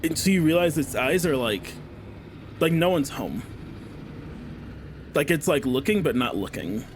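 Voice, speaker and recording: A man's voice: fairly high pitch, big pitch swings, a little slow, slight background noise. A man running a tabletop game, narrating a scene in an easygoing way with plenty of fillers. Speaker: game master. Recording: clear background music.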